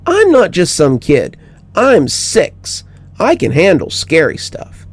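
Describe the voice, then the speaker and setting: A man's voice: fairly high pitch, wildly swooping pitch, slow pace, breathy edge, pitched above the performer's own voice, exaggerated voice. A man voicing a mischievous, curious six-year-old girl in a horror story reading, with a pert, inquisitive, childlike manner. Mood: proudly boastful.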